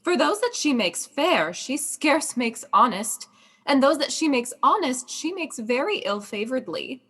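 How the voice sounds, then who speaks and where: A witty, loyal young princess in a Shakespearean radio drama. Her voice sits fairly high as she delivers classical dialogue. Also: big pitch swings, unhurried, a bit bright, husky, exaggerated voice. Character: young princess, loyal, bright-witted cousin. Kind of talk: Shakespearean radio play acting.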